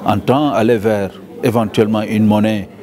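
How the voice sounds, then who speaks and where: A man speaking as a conference panelist, deliberate and repetitive, with his pitch leaping when he stresses points. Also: steady tone, mellow. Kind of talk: interview statement. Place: conference venue. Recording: crowd murmur behind.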